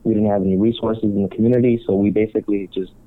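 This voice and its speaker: A man who was born in Cuba and works as a marriage counselor calmly tells his life story as a guest on a talk show. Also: on the low side, rapid-fire. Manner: calm, reflective storytelling. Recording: tinny phone-line sound.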